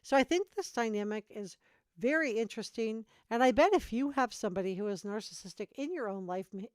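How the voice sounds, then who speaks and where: A woman's voice: on the high side, expressive, brisk, no room sound, studio quality. A woman host giving analytical commentary on clips. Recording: music bed behind voice. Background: background music.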